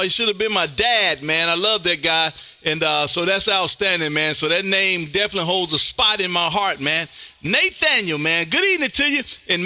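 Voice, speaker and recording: A loud, folksy man from Mississippi hosting amateur radio contacts with an exuberant, chatty on-air delivery. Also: high voice, no echo. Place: indoor home radio room.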